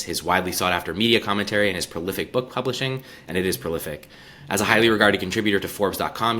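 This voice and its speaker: Deep-voiced, bright-toned male podcast host. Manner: enthusiastic, thoughtful, with fillers. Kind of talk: podcast interview.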